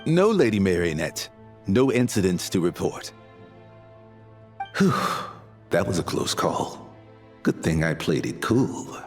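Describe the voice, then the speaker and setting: Officious, lowish-voiced guard. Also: male voice, sing-song, slow pace, pauses often, a little mellow, soft background music, heavily acted. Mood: deferential, then relieved. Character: officious male gatekeeper guard, condescending to visitors, deferential to superiors. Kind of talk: scripted game cutscene acting.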